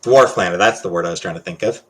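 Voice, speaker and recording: A man with a Canadian accent chatting casually on a livestream as he answers questions, halting and hesitant, often trailing off. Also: sits low. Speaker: livestream host.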